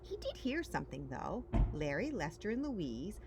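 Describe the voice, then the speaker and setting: A woman's voice: medium pitch, wildly swooping pitch, normal speed, creaky. A woman reading a children's picture book aloud as a story-time reader, expressively and with dramatic emphasis. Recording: faint background noise.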